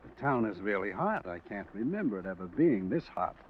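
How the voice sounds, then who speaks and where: An actor playing a firm, world-weary police lieutenant with terse, authoritative questioning in a 1950s radio crime drama. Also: male voice, on the low side, very animated, brisk, loud music behind the voice. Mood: weary regret. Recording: muffled, noisy vintage radio recording.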